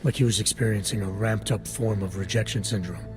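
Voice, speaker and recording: An actor playing a stoic, guarded augmented agent in a video game, with a low gravelly voice and clipped, deadpan delivery. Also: male voice, fast talker, lightly acted. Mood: grim, thoughtful. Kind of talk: video game cutscene acting. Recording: music under the voice.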